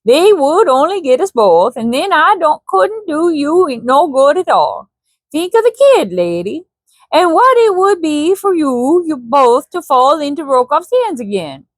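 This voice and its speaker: A woman's voice: high-pitched, sing-song, unhurried, no echo, pitched above the performer's own voice. Woman doing a Swedish guide, man, blunt and loyal, broken English, raised voice, dry sound. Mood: grim. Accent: Swedish (put on).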